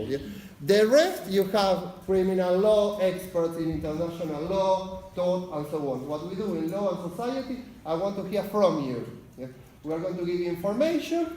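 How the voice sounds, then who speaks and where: Man, Argentine Spanish accent, university lecturer, fast-talking, high voice, echoey lecture hall. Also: a little mellow. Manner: fast-talking, frequent "yes?" check-ins, filler "uh". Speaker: university lecturer, unit leader. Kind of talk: lecture introduction. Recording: echoey lecture hall, faint background noise.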